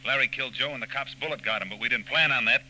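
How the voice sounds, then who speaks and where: An actor playing a blunt, menacing holdup gang boss in 1940s radio style. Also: male voice, medium pitch, rapid-fire, bright, forceful tone. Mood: agitated. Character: tough, hot-tempered male holdup gang boss. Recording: scratchy 1940s radio recording with hum.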